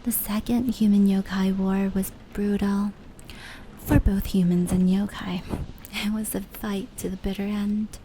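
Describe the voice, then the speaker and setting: Gentle female demon storyteller acted by a woman, ASMR roleplay: hushed, whispery, unhurried delivery over rain and brushing noise. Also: mid-range voice, level tone, lightly acted. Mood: calm, storytelling. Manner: slow, hushed, often slipping into whispers. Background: indoor rain patter and brushing sounds.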